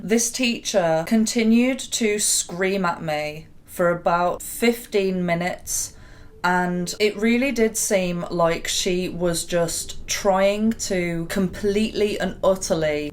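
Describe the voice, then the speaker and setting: Deep-voiced woman telling her story. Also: takes it slowly, slight background noise. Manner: candid and reflective, with small laughs. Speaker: YouTuber. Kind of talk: personal storytelling to camera.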